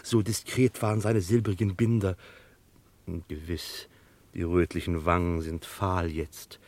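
An actor playing a devoted, naive and excitable henchman in a vintage radio crime play, deferential and gushing. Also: deep voice, measured pace, no room sound. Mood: awed, excited. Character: devoted, naive, excitable male henchman, starry-eyed and eager.

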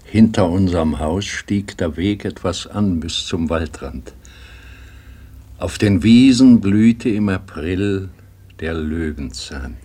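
An actor playing a weary, wistful old grandfather in a radio drama, with a husky voice and a brooding, halting delivery. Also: sits low, animated, dark tone, heavily acted.

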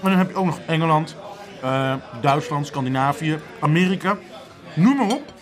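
An actor playing an excitable, sarcastic young man in a naturalistic Dutch radio drama, speaking emphatically. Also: fairly high pitch, normal speed, a crowd in the background, lightly acted. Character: excitable, sarcastic young man, griping about his job.

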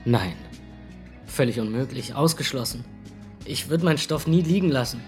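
A man narrating in the first person in a radio drama, rough and weary, with coarse language. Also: medium pitch, normal speed, a little mellow, faint music behind. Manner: rough, weary inner monologue with coarse words. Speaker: narrator and lead character.

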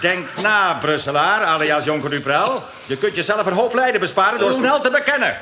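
High-voiced, menacing interrogator in echoing room. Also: male voice, lively intonation, moderate pace. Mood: commanding. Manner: commanding, taunting. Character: menacing, gloating male interrogator threatening a prisoner. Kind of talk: period radio drama acting. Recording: echoey room, loud background noise.

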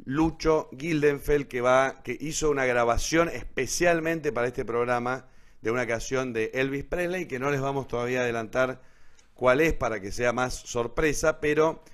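A man's voice: medium pitch, moderate pace. A man hosting a live online show, explaining things and checking in with short tag questions. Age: adult, teacher and writer. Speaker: host. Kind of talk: presenting a live online radio theatre show.

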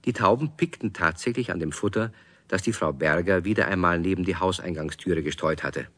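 Children's radio play storyteller: very low, dry close sound, articulate, expressive. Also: male voice, fairly quick, dry recording. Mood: calm narrating. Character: measured male storyteller of a children's tale. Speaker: narrator. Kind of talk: scripted children's story narration.

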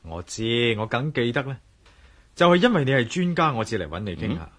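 A man's voice: mid-range voice, very animated, fairly quick, a bit bright. An actor playing a concerned, persistent man investigating a mystery in a Cantonese radio drama, with a Hong Kong accent. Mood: calm, earnest. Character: concerned, persistent male investigator, earnest and coaxing.

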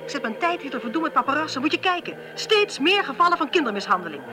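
Sharp, high-voiced sarcastic policewoman. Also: sing-song, fast. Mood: indignant, irritated. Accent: Netherlands Dutch. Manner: sarcastic, brusque. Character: sharp-tongued, impatient female police inspector. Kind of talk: Dutch radio drama acting.

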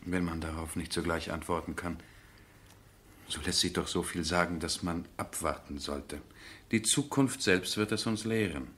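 An actor in a 1970s German radio drama playing a stubborn, lovesick aristocratic husband with formal, deliberate phrasing. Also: male voice, low-pitched, fairly bright tone, a little hiss or hum. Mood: evasive, defensive. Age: middle-aged.

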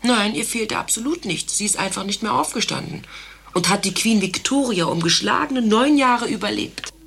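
An actress playing a pompous, devoted doctor's receptionist in a satirical radio play, earnest and emphatic. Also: female voice, mid-range voice, animated, normal speed, vocal fry, fairly bright tone. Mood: earnest, admiring. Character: devoted, pompous doctor's receptionist who idolises Florence Nightingale.

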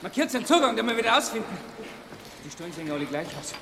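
Commanding village-crime inspector, Bavarian, brusque, high-pitched, faint noise behind, for radio play. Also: male voice, very animated, rapid-fire, vocal fry, fairly bright tone, heavily acted. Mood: probing. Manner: brusque, questioning, barks orders. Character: gruff, commanding rural police inspector in 1920s Alps. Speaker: actor in a radio crime drama. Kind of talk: 1990s German radio play acting.

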